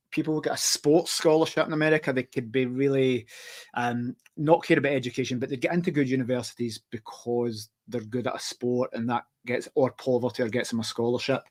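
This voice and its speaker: A man's voice: medium pitch, darkish tone. A Scottish man hosting a podcast interview, joking and teasing his guest between questions. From Scotland. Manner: joking, self-deprecating banter with fillers. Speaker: podcast host. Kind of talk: casual interview chat.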